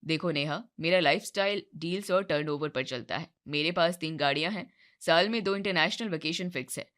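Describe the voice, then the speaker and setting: A woman's voice: low voice, a little fast, fairly bright tone, pitched below the performer's own voice. A woman voicing a proud, curt young businessman in a cartoon moral story, boastful and businesslike. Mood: smug, cool.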